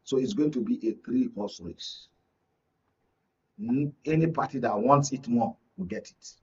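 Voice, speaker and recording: Husky Nigerian man, emphatic political analyst. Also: mid-range voice, unhurried, a little mellow. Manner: emphatic, repeats points, checks listener follows. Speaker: media analyst and guest. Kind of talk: political analysis in a studio discussion. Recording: muffled, distant mic, lo-fi sound.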